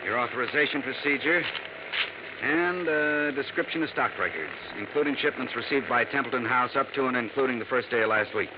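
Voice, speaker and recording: An actor playing a harried, urgent insurance company manager in a 1950s radio drama, pressing and businesslike. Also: male voice, medium pitch, a little fast, natural voice. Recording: muffled old radio recording, noisy background.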